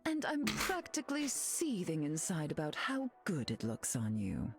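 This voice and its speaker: A woman's voice: low voice, wildly swooping pitch, unhurried, music in the background, full character voice. A woman voicing a vain, glamorous telepathic queen in a video game, sardonic and teasing. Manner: sardonic, teasing, self-admiring.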